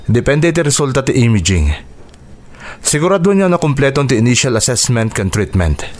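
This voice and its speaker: A man's voice: mid-range voice, very animated, normal speed, natural voice. A man with a Filipino accent acting as an earnest, businesslike hospital doctor in a radio drama. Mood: brisk, professional. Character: Doc Angelo, earnest male hospital doctor, businesslike, modest.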